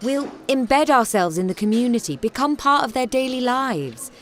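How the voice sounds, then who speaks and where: Woman as a commanding, animated theatre director. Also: a little high, moderate pace, natural voice. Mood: persuasive. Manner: decisive, commanding. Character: firm, idealistic female theatre director. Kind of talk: audio drama acting.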